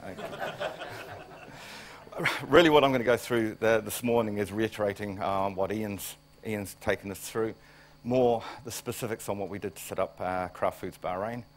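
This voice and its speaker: A man's voice: on the low side, steady tone, unhurried, slight background noise. A middle-aged man with a New Zealand accent, a plant director giving a conference talk, plain-spoken and wry, coming down with a cold. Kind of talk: conference presentation.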